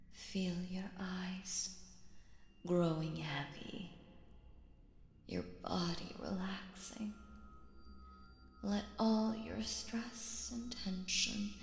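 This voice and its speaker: Slow, echoing, sinister magician woman acting. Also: medium pitch. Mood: hypnotic, soothing. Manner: theatrical, coaxing, breathy whispers mixed into speech. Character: sinister, possessive female stage magician, doting captor. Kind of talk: roleplay audio drama, intimate hypnotic monologue.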